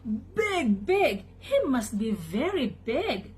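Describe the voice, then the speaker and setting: A woman's voice: high-pitched, big pitch swings, slow pace, husky, heavily acted. A woman voicing a flustered, nervous duck lookout in a children's storybook, exclaiming and repeating words.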